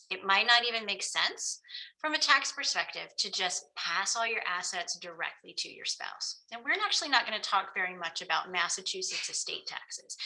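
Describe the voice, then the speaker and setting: Earnest woman lawyer, bright voice. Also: medium pitch, low-quality recording. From Florida. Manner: earnest, explanatory, frequent ums. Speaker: estate planning lawyer. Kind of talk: webinar presentation.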